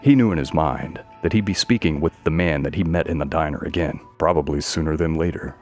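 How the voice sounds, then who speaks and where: Low-voiced male story narrator. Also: brisk. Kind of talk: scripted narration of a mystery story. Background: faint music.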